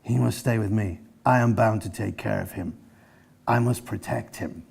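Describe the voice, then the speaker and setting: A man acts out a stern, protective schoolboy from a children's storybook. He speaks low and mellow, in formal, measured phrases, and refuses to budge. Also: creaky, breathy edge, full character voice. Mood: defiant, protective. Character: stern, protective foreign schoolboy, defiant and solemn.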